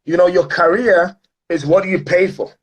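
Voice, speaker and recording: Upbeat guy from ghana talking on a video call, kinda high voice, lots of ums. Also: fairly even intonation, moderate pace. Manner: upbeat, motivational, many fillers. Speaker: guest speaker, entrepreneur. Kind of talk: casual online interview.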